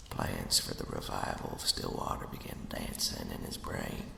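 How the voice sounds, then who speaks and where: A man narrating a ghost story as ASMR in a hushed, slow, dramatic whisper with a breathy, gravelly edge. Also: extremely low pitch, background noise. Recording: echoey, long cavernous reverb.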